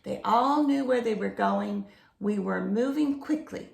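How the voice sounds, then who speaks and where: Slow-paced woman reading a children's story. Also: mid-range voice, vocal fry, roomy sound, a little hiss or hum. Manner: explains to young listeners with simple questions and expressive emphasis. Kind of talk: read-aloud picture book storytelling with asides to children.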